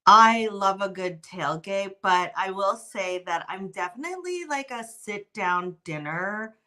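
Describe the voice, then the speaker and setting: Bright-voiced woman in her forties. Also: medium pitch, a little slow. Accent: slight Texan. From Canada. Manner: bubbly, animated storytelling. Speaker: podcast guest. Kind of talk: casual interview chat. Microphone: tablet mic on a video call.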